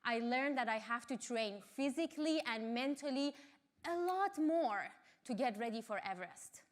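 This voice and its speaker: A woman from Iran, a Farsi speaker, giving a motivational talk in a measured, earnest way. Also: fairly high pitch, animated.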